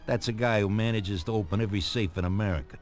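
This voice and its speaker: Man playing a low, businesslike mobster. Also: fast talker, soft background music, close to an everyday voice. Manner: matter-of-fact, instructive. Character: businesslike mob associate briefing a break-in job. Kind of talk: dramatic video game dialogue.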